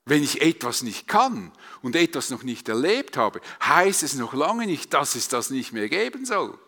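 Male Swiss German preacher: forceful bright tone, rhetorical and emphatic, recorded in a big reverberant hall. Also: mid-range voice, very animated, moderate pace. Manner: emphatic, rhetorical repetition and questions. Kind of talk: sermon to a congregation.

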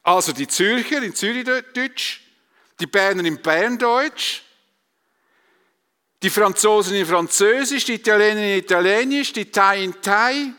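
Male Swiss German preacher: forceful bright tone, rhetorical and emphatic, recorded in a big reverberant hall. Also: high voice, unhurried, clear, resonant tone. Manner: emphatic, rhetorical repetition and questions. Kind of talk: sermon to a congregation.

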